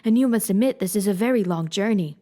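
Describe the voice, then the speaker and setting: A woman voicing a calm male tin woodman who speaks slowly in a storybook reading. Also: mid-range voice, natural voice. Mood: longing. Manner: calm, slow.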